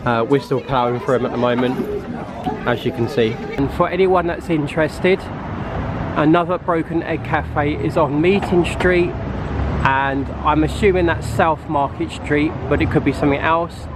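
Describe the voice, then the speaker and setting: A man's voice: a little high, steady tone, moderate pace. A man chatting casually on a travel vlog, outdoors on a city street and recorded on a compact camera mic, rambling and repeating himself a little. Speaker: travel vlogger. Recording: street traffic noise behind.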